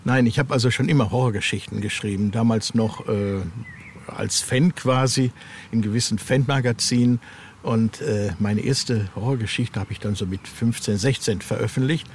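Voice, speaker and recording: Male interview guest, middle-aged or older author, mid-range voice, halting conversational delivery, clear background noise. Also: medium pitch.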